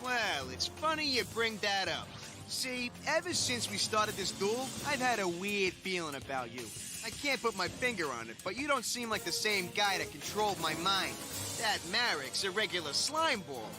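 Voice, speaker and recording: A man's voice: high-pitched, sing-song, moderate pace. An actor voicing a brash, loyal teenage boy duelist who talks with cocky swagger. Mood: defiant. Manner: cocky, gut-trusting swagger. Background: dub soundtrack music.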